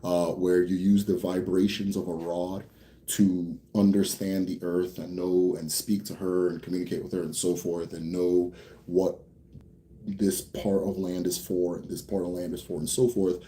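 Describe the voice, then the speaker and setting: A young American man hosting a casual Q&A for viewers. He talks bluntly, swears freely, rambles a bit, then slows down to explain. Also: sits low, little pitch movement, warm tone. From United States. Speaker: host answering viewer questions. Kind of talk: casual livestream Q&A.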